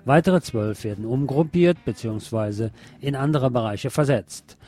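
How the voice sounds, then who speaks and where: A man's voice: medium pitch, normal speed, warm tone. A man presenting news items as a scripted magazine-style read. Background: music.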